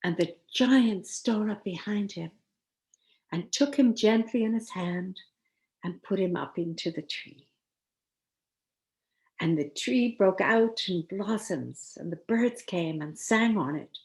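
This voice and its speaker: A woman storyteller reading a children's tale expressively, with deliberate dramatic pauses. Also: medium pitch, lively intonation, a little mellow.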